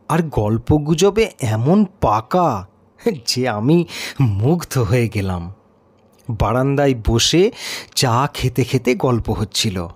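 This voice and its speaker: A man reading a scripted audio story in a measured, expressive, dramatic way. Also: mid-range voice, husky. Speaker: story narrator and reader.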